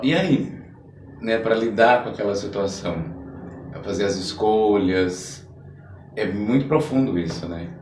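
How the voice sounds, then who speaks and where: A Brazilian man hosting an interview with casual, reflective chat, circling haltingly toward his questions. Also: fairly low pitch, slight background noise. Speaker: podcast interviewer. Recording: roomy echo, distant microphone.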